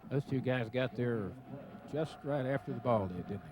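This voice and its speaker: A man's voice: medium pitch, normal speed. A man giving unscripted color commentary on a radio football broadcast in a folksy, conversational way. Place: stadium broadcast booth. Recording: noisy stadium radio broadcast tape. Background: outdoor stadium noise.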